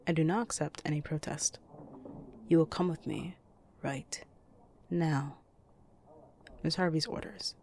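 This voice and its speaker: Curt, suspicious office enforcer, female actor, commanding, heavy vocal fry, faint noise behind, audio roleplay. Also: low voice, steady tone, a little slow, pauses often, darkish tone.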